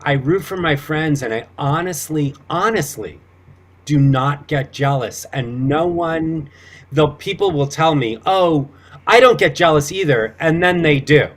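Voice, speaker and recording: A man with a sweet, gentle voice chatting casually and earnestly as an actor guest in an interview. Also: a little high, a little slow.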